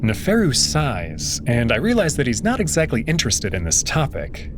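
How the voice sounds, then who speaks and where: A man giving first-person story narration in a lively, expressive reading. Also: medium pitch, normal speed, over music. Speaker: visual novel reader.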